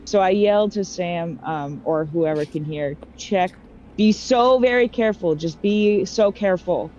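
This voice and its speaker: A woman's voice: lowish voice, unhurried, warm tone. A woman playing a tabletop game, chatting casually in an emphatic, repetitive way. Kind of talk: casual roleplay chat. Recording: dull audio, faint noise.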